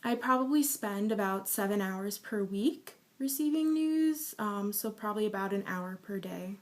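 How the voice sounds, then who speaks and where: A woman in her early twenties explains her views in a measured, prepared way. Her voice sits at an ordinary middle pitch. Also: expressive. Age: 20s. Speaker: survey respondent. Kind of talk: prepared interview answers.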